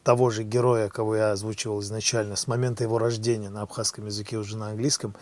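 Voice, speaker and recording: A man who works as a voice actor, giving a measured interview in halting, formal phrases. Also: on the low side, flat delivery, a little mellow. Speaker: cartoon voice actor.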